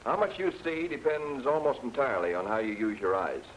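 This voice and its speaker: American guy doing 1940s training film narration, instructor type explaining stuff straight to you, medium pitch, lots of old soundtrack noise. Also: very animated, brisk. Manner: explanatory, plain-spoken, addresses the learner directly. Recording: noisy 1940s film soundtrack, aircraft drone.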